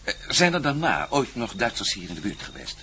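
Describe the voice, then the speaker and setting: An actor playing an inquisitive, cautious expedition member in a radio play, polite and a little hesitant. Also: male voice, fairly low pitch, big pitch swings, a little fast, pushed and bright, slight background noise, heavily acted. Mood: probing. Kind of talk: Dutch radio play dialogue.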